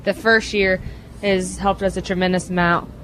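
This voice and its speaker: A woman's voice: on the low side, level tone, moderate pace, fairly bright tone, slight background noise, poor audio. A young woman, a race car driver, giving casual, conversational interview answers. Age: young adult.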